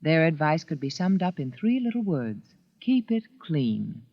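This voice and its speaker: A woman delivering a scripted 1940s radio commercial with deliberate, emphatic phrasing. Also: low-pitched, big pitch swings. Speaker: advertising spokeswoman. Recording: old muffled radio recording.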